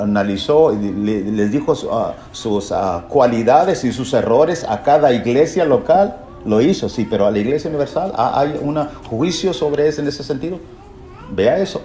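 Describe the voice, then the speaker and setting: A man's voice: mid-range voice, warm tone. A man preaching a Bible lesson in an emphatic, didactic way, posing rhetorical questions and repeating key phrases. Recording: echoey room, faint background noise.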